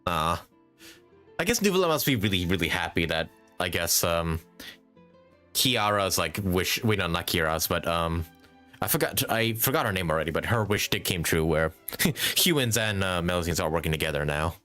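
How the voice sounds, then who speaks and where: Man, deep voice, animated pitch, hesitant casual game commentary, chuckly, faint music behind. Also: moderate pace. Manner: hesitant, rambling, with frequent chuckles. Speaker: live streamer playing a game.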